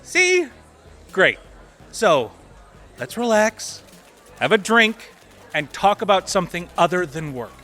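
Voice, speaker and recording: Casting: placating male attorney, audio drama. High, forward, bright voice, upbeat and courteous. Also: big pitch swings, takes it slowly, long pauses, soft background music, a faint crowd, full character voice. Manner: polite, conciliatory, upbeat. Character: affable male lawyer colleague, placating peacemaker. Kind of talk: audio theatre dialogue acting.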